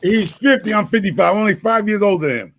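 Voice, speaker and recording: A man's voice: sits high, lively intonation, fast talker, crowd noise. A man, telling folksy stories in a casual group chat. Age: 50s. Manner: folksy, drawling storyteller. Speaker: chat participant.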